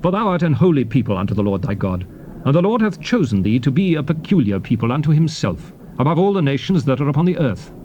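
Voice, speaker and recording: A man narrating a dramatized Bible reading in a solemn, stately, emphatic manner, declaiming in short measured phrases. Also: mid-range voice, very animated, warm tone, lo-fi sound. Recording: hissy old cassette tape.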